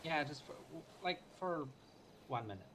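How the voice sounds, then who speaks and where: A man's voice: on the high side, expressive, normal speed, background noise. A man in casual online game banter, blunt and teasing in the way he talks. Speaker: roleplay player.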